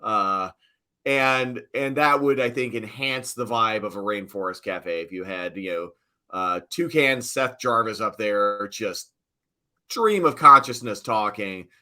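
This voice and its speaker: Bright, forceful middle-aged man, casual storyteller. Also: lowish voice, measured pace. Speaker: sports PA announcer, interview guest. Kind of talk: casual storytelling interview chat.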